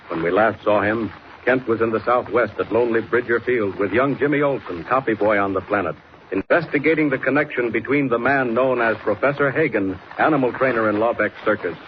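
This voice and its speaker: A man announcing and narrating a 1940s radio serial in a dramatic, declamatory scripted style. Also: mid-range voice. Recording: muffled, noisy 1940s radio recording.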